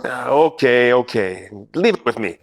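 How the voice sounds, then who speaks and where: Man playing hard-boiled 1940s gumshoe, wry, world-weary, animated pitch swings, radio-play noir, reverberant hall. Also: a little slow. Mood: exasperated. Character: wisecracking hard-boiled 1940s male private detective. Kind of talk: 1940s radio noir parody, narration and dialogue. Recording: echoey stage or hall reverb.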